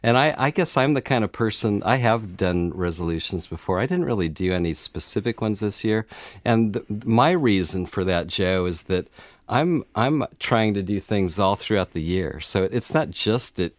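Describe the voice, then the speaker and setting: A man's voice: lowish voice, animated, normal speed, dry recording. A middle-aged man with an American accent co-hosting a talk show in a casual, conversational, agreeable way. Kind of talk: casual chat.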